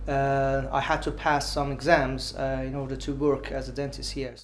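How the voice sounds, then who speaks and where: A man from Iran speaks in his forties, with a clear Iranian accent and mid-range pitch. He answers unscripted and keeps halting on filler sounds. Faint ambient noise sits under his voice. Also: level tone. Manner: hesitant, many filled pauses. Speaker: dentist interviewee.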